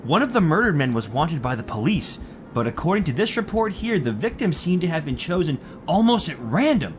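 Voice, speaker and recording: An actor playing a chatty, affable married passenger in a retro radio drama. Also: male voice, on the high side, very animated, a little fast, a little hiss or hum. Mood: curious.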